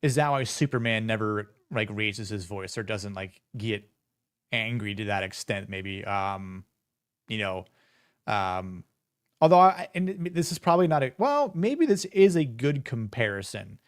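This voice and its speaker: Low-voiced man rambling on a stream. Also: expressive, a little slow, no echo, clean studio sound. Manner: rambling, many fillers and restarts. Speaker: streamer and show host. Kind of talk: casual livestream chat.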